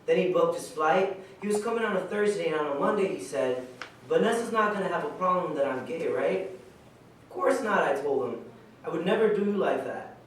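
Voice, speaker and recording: A man's voice: a little high, expressive, brisk, lightly acted. An actor playing an easygoing man nearing thirty, telling a personal story conversationally in a staged reading. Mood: casual, amused. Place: stage in a reverberant room, recorded from afar. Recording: echoey hall, far mic, faint noise.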